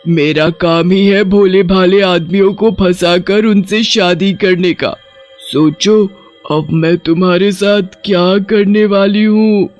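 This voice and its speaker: Cartoon witch, put on by a woman, shape-shifter, cackling, sweet-talking, bloodthirsty, soft music underneath. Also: mid-range voice, steady tone, takes it slowly, muffled recording, close to an everyday voice. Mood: menacing. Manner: cackling laughter, coaxing sweet talk. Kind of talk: cartoon horror story voice acting.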